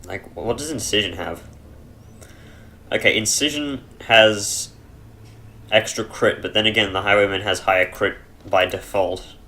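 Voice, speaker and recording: A man giving casual, rambling commentary over a dungeon game on a headset mic, chesty and clearing his throat, with dry humor. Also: lowish voice, measured pace, long pauses, bright, forceful tone. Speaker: let's play gamer.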